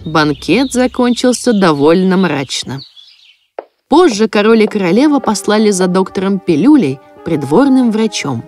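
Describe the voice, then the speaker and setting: A woman's voice: mid-range voice, very animated, normal speed, a little mellow. A woman reading scripted fairy-tale narration as the storyteller of a children's cartoon. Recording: music behind the voice. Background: music.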